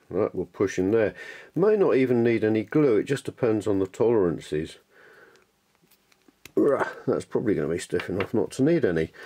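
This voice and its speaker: Low-voiced British man, chatty workshop demo. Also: dark tone. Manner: rambling, self-deprecating, chatty. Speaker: hobbyist maker presenting a workshop tutorial. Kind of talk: casual unscripted how-to demonstration. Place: home workshop indoors. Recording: clear background noise and clicks in a workshop.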